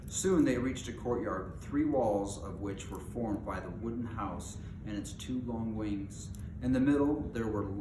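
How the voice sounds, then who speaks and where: A man reading a storybook aloud as its narrator. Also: fairly low pitch. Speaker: storybook reader. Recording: echoey room with clear background noise.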